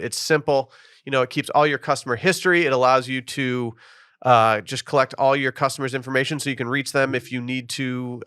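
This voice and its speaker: A man's voice: mid-range voice, fairly bright tone, clear, resonant tone, no room sound, clean studio sound. A man hosting a podcast, chatting loosely with lots of fillers and reading an ad. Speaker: podcast host. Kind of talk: casual podcast chat with ad reads.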